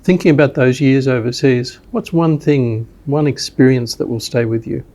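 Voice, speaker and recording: A man who hosts the interview, asking clear, measured questions. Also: mid-range voice, dark tone. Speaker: interview host, foundation chief executive.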